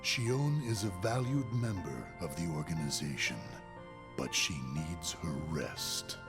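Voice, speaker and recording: An actor playing a cold, authoritative leader of a secret organization in a video game cutscene, speaking in a measured way. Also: male voice, deep voice, lively intonation, over music. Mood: stern, controlled. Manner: slow, measured, authoritative delivery.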